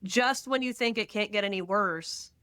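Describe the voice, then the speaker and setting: Pregnant lady from texas chatting on a podcast, mid pitch, fast bursty storytelling, dry sound. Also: smooth, no room sound. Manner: animated, talks fast in bursts, tells stories with dramatic asides. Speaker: podcast guest. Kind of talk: casual faith-centered conversation.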